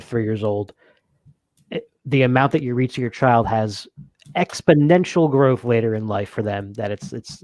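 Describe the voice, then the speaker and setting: A man's voice: on the low side, a little mellow, no echo. A man talking casually as co-host of a game review show, conversational and addressed to a partner and viewers. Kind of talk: casual chat, asking questions and signing off to viewers.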